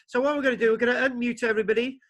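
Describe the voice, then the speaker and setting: A man's voice: very high-pitched, steady tone, very fast, fairly bright tone. A man lecturing over a video call, stammering and repeating words, with many fillers as he leads a discussion. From Wales.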